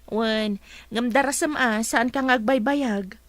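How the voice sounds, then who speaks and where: High-voiced watchful wife, played by a woman. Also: slow pace, dry recording. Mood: bossy. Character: watchful, possessive wife minding a bakery. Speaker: actress in a radio drama. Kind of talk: Filipino comedy-drama radio play acting.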